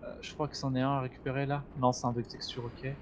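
A man's voice: medium pitch, level tone, fast talker, dull audio, a little hiss or hum. A man chatting casually while playing a co-op game, explaining the mission and guiding his partner. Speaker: gamer, co-host of a gaming channel. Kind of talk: casual co-op gameplay chat.

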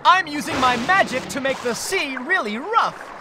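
A woman's voice: high voice, lively intonation, moderate pace, background noise. A woman voicing a sneaky, mischievous male elf villain in a children's video game, with a gloating, taunting manner.

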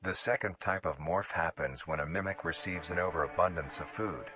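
Male narrator, deep pitch, clinical scripted horror-documentary read, music clearly behind. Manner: measured, formal, clinical.

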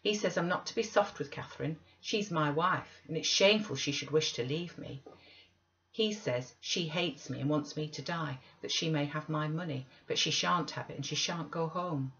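A woman's voice: sits low, moderate pace, fairly bright tone. A sickly, spoilt teenage boy played by a woman reading aloud whines petulantly in a storybook reading. The sound is a little dull. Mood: sulky, spiteful. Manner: petulant, whining. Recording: slightly dull webcam audio.

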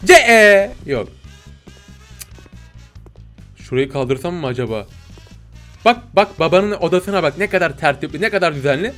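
A man doing playful, excitable gameplay commentary, joking with viewers in slangy exclamations. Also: fairly high pitch, moderate pace, long pauses. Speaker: gaming video host. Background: game music.

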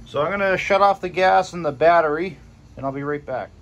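A man's voice: a little high, brisk. A man casually walking through his railcar in a small garage, talking loosely with fillers as he films on his phone. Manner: relaxed, conversational, loose with fillers. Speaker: railcar hobbyist showing his car. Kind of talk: casual video walkthrough. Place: small wooden garage, indoors. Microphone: phone camera held by the speaker.

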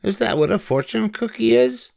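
An older man voicing a cheerful, literal-minded young maid in a high, earnest sing-song. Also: animated, moderate pace, vocal fry, darkish tone, no room sound, studio quality, exaggerated voice.

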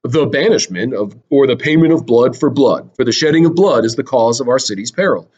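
A grave royal envoy of classical tragedy, read aloud by a man. He reports formally, in a measured, declamatory way. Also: mid-range voice, expressive, a little mellow, slightly rough, dull audio, voice pushed down.

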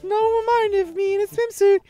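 A woman's voice: extremely high pitch, steady tone, quick pace, dark tone. A woman voicing a cheerful, ditzy young rabbit-eared schoolgirl in a visual novel, with a cutesy, girlish delivery. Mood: breezy. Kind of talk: visual novel character dubbing. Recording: music behind the voice.

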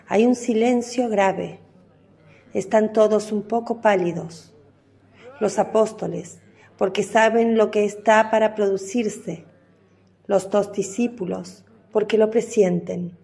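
A woman giving scripted narration for a radio drama in a solemn, measured manner. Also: mid-range voice, creaky, dark tone.

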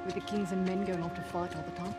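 A woman's voice: on the low side, flat delivery, quick pace, close to an everyday voice. An actress playing a tough, guarded female mercenary in a video game, speaking bluntly with a South African accent. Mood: matter-of-fact. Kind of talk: video game acting, naturalistic dialogue. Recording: loud game soundtrack music underneath.

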